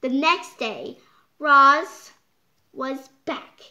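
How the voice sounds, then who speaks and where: A child reading a picture book aloud, halting and stumbling over words, with exclaimed side comments. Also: high-pitched, animated, pushed and bright, muffled recording. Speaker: storytime reader. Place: indoors, hands holding book before the camera.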